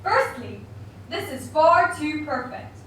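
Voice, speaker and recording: Woman voicing a high-pitched economist puppet, very echoey. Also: very animated, slow, a bit bright, heavily acted. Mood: scornful. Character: famous male monetarist economist puppet, opinionated and dismissive. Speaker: interview guest. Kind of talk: scripted puppet show interview. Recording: very echoey room, distant mic, faint noise.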